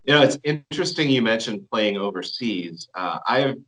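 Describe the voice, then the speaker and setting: A man hosting a metal interview, asking questions in a halting way with fillers. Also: lowish voice, lively intonation, fairly bright tone, low-quality recording.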